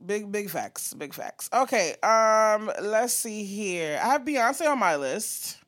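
A woman's voice: medium pitch, lively intonation, slow pace, a bit bright. A woman co-hosting a podcast, chatting casually and animatedly about music, swearing freely and breaking into song. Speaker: podcast co-host.

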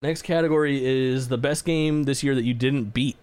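A man's voice: medium pitch, fairly even intonation, moderate pace, darkish tone, clean tone. Laid-back, cussing young male podcaster. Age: 20s. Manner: laid-back, swears often, laughs easily. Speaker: podcast co-host. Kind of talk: casual chat.

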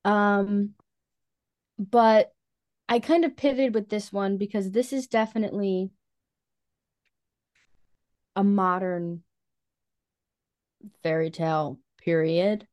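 A woman with a medium-pitched voice speaks as a podcast co-host at a very leisurely pace. She chats about books informally, with casual slang and a conversational delivery. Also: slow, pauses often, darkish tone. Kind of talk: casual book chat.

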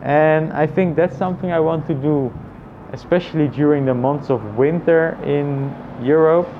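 A man thinking aloud in a casual, reflective personal vlog, with halting phrasing and frequent repetition. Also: fairly high pitch, phone-quality sound, slight background noise. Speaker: vlogger.

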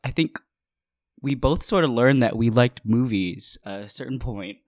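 A man's voice: mid-range voice, normal speed, darkish tone, no echo, clean studio sound. A man in his twenties from Hawaii, co-hosting a casual podcast, laughing often and using many fillers. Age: 20s. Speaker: podcast co-host. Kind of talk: casual chat.

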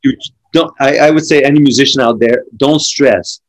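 A middle-aged man from France with a French accent, a drummer chatting casually and telling animated stories full of restarts. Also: medium pitch, moderate pace. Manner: animated storytelling with frequent restarts. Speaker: drummer and podcast guest. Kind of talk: casual conversation.

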